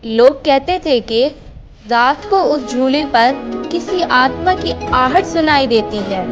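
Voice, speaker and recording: A woman's voice: high-pitched, animated, takes it slowly, highs cut off. A woman narrating a scripted horror bedtime story as the storyteller. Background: loud music.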